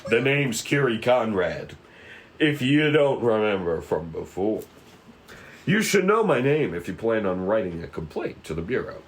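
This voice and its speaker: A man voicing a proud, self-righteous detective who speaks calmly and formally, in a cartoon script table read. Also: on the low side, measured pace. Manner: overly serious, formal, preachy. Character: proud, self-righteous male police detective, calm and earnest. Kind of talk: animated series table read.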